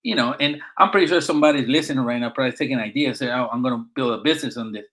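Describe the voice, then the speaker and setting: A man's voice: mid-range voice, rapid-fire, a bit bright. A middle-aged man from Puerto Rico with a Puerto Rican accent, a veteran guest talking emphatically in a casual podcast conversation and often checking in with "right?".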